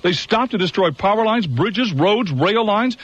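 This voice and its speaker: A grave radio news announcer reading war bulletins in a steady, measured way. His voice sits a little high for a man, and the sound is muffled like an old radio broadcast. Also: exaggerated voice.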